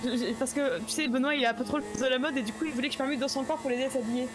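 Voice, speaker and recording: Very fast-talking, fairly high-voiced woman. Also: steady tone, music in the background. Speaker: roleplayer playing the cousin character. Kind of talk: casual in-character improvised chat.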